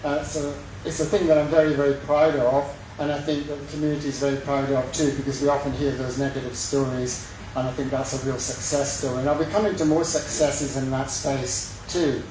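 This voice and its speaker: A middle-aged man with a west of Scotland accent delivers a campaign speech from a lectern, pausing on fillers and slipping in wry jokes about himself. He is heard from some way off in a very echoey sports hall, with a faint hum underneath. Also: medium pitch, flat delivery, darkish tone. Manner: halting, many fillers, self-deprecating jokes. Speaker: mayoral candidate. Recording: very echoey hall recording from far off.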